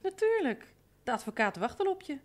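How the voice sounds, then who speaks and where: A woman's voice: high voice, very animated, a little fast. A woman playing a bossy, familiar housekeeper in a radio drama, reproachful and chiding. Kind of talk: radio drama acting in Dutch.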